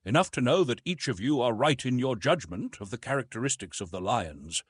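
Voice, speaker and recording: A man voicing a fearless jungle-raised man in an audiobook, speaking in a dry, measured, self-assured way. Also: medium pitch, expressive, breathy edge, no room sound. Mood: dry, confident. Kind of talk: audiobook character dialogue.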